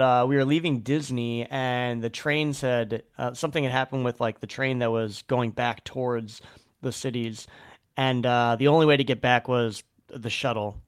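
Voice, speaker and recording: A man hosting a podcast, chatting loosely and telling a rambling travel story full of fillers. His voice is smooth and clear, recorded dry and close. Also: medium pitch, no echo. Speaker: podcast host. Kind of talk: casual chat.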